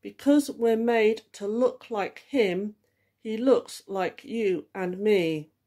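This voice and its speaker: A woman reading a ballad poem aloud in a measured recitation. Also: mid-range voice, warm tone. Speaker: church group leader reading aloud.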